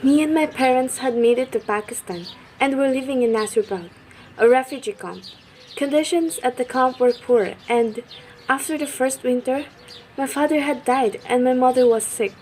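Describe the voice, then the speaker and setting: A woman's voice: high voice, normal speed, a little mellow, a little hiss or hum. A woman reading a long recounting speech in a student radio play. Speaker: student voice actor. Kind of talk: amateur radio drama acting.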